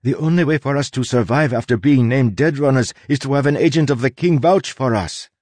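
A man's voice: mid-range voice, a little fast, darkish tone, no echo, pitched above the performer's own voice, lightly acted. A man voicing a stern, straightforward sailor woman in an audiobook, with urgent, persuasive delivery. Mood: pleading.

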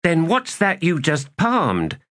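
A male narrator playing an excitable, wheedling soldier who cheats at dice and pleads with mock innocence. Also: mid-range voice, slow pace, breathy edge, pitched above the performer's own voice, heavily acted. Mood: scowling, defensive.

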